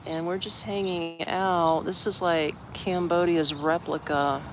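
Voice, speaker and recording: A man's voice: a little high, flat delivery, a little slow. An American man from Florida chatting casually to live-stream viewers from a city park, rambling and greeting people as they join. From Orlando, Florida, USA. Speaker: expat livestreamer. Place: outdoors on steps in a busy city park. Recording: noisy outdoor city street. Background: street and traffic noise.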